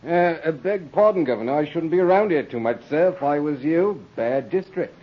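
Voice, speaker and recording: Lilting London constable, deferential and chatty. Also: male voice, on the high side, lively intonation, brisk. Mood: deferential warning. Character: deferential London police constable warning a gentleman. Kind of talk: 1940s radio acting. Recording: muffled, noisy vintage radio recording.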